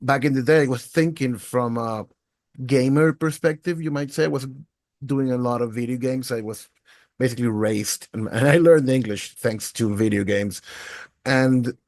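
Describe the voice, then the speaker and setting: A man answers interview questions as a podcast guest. He talks thoughtfully and fluently at a medium pitch, and the room sound is dry. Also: moderate pace, darkish tone, no echo. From Venezuela. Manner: thoughtful, fluent storytelling with casual fillers. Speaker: podcast guest, education technologist.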